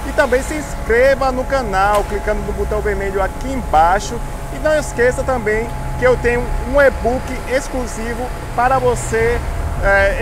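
A man in his thirties from Salvador with a Bahian accent, giving a chatty walking tour of his city to a phone held at arm's length. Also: high-pitched. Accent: Bahian Brazilian Portuguese. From Salvador, Bahia, Brazil. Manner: chatty, fillers and restarts, guiding. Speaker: travel vlogger. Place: outdoors on a city street with traffic. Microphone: phone held at arm's length, selfie. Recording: loud street traffic noise behind.

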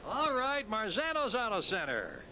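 A middle-aged American man with a fairly high voice hosts a local TV sports show. He delivers plugs and commentary into a hand mic, with clear noise behind him on old videotape. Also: wildly swooping pitch, normal speed. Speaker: TV show host and bowling commentator. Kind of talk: local TV presenting, interviews, sponsor plugs and commentary. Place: large indoor bowling alley. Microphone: handheld microphone. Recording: noisy videotape, bowling alley ambience.

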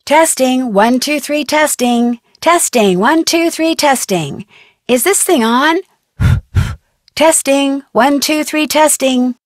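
A woman's voice: high voice, takes it slowly, no room sound, clean studio sound. A woman playing an eager young female amateur detective in a video game. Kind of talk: video game character dialogue.

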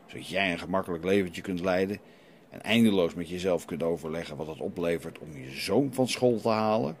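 A man's voice: sits low, fairly quick. A man playing a stern, defiant renegade priest in a radio play, challenging and preachy as he questions. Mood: reproachful. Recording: light background noise.

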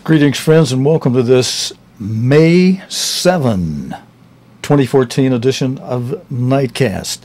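An older man hosting a news program from a studio, reading stories slowly with some halting restarts. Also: medium pitch, animated, darkish tone. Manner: slow, halting delivery with restarts. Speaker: news host. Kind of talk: scripted news reading with ad-libbed links. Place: studio with news desk backdrop.

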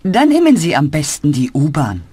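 A woman's voice: sits low, wildly swooping pitch, moderate pace, dark tone, husky, no room sound, full character voice. A woman voicing a helpful adult woman who gives short answers in a scripted learner dialogue.

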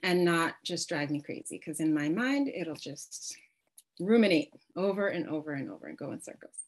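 Low-voiced woman writer on a video call. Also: very animated, moderate pace. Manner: warm-humored, laughing asides. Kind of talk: panel answer on video call. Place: home room with bookshelves. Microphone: laptop webcam mic.

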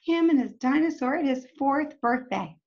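A woman's voice: sits high, animated, moderate pace, a little mellow, dull audio. A middle-aged woman reading a picture book aloud expressively to young children, with little asides to them. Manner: addresses young listeners with questions and asides. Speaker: storytime reader. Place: indoors, home room with bookshelves.

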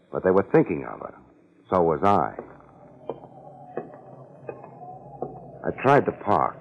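Wisecracking private eye, low voice that swings around a lot, old radio crime show. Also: male voice. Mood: brooding, terse. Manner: sardonic, terse, clipped sentences. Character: hard-boiled wisecracking male private detective. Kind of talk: 1950s radio detective drama acting and narration. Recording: muffled vintage 1950s radio recording.

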